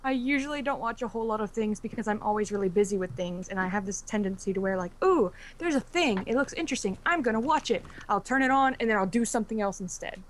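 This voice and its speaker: A woman on a livestream panel, talking in a soft, easygoing, self-deprecating way. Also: medium pitch, animated, fast talker, hardly any pauses, slight background noise, poor audio. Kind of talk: casual livestream chat.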